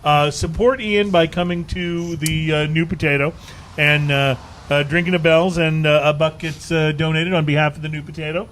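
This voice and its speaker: Man, show host, affable, agreeable, slightly high voice, faint room noise. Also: steady tone, normal speed, fairly bright tone. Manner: affable, frequent agreeing interjections. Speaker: podcast host. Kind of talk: casual chat. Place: bar, live show.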